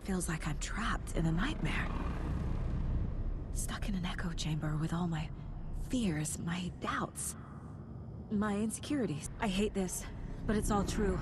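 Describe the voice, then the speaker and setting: A woman's voice: fairly low pitch, lightly acted. Actress as a distraught woman investigator, noisy backdrop. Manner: anguished, searching, talking to herself. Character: distraught female investigator trapped in her own mind, fighting despair. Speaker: video game character. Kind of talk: dramatic game voice acting. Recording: loud noisy ambience behind the voice.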